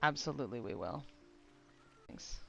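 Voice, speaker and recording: A woman game streamer giving casual live commentary, playful and expressive, swearing casually. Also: extremely low pitch, normal speed, creaky, muffled recording. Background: video game music.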